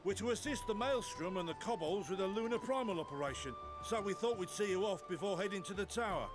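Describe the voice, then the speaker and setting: An actor playing an earnest, dutiful young hero in a fantasy game, with a formal and resolute way of speaking. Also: male voice, sits high, expressive, quick pace. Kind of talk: game cutscene acting. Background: game music.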